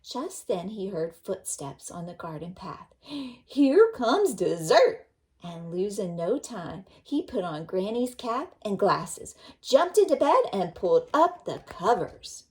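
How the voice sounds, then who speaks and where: A woman's voice: on the low side, a little hiss or hum. A woman teacher reading a storybook aloud expressively, with questioning, instructive asides.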